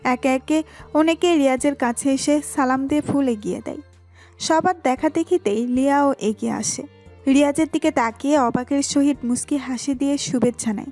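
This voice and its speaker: A woman's voice: high-pitched, normal speed, a little mellow, faint music behind. A woman narrating a scripted romantic audio story as its storyteller.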